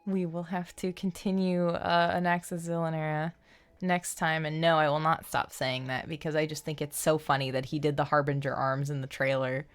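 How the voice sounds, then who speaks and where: A woman hosting a game livestream, chatting casually in an animated, playful, rambling way. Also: low-pitched, moderate pace. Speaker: game streamer and host. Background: background music.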